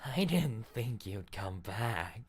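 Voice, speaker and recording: A man voicing a cruel, playful, monstrous princess in game dialogue, taunting and drawn-out. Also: on the low side, very animated, pitched above the performer's own voice. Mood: playful menace.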